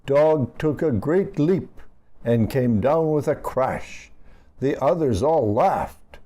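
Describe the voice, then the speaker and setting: A man reads a rhyming children's picture book aloud in an expressive, animated way, speaking to young listeners. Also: mid-range voice, slow. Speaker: storybook reader for children.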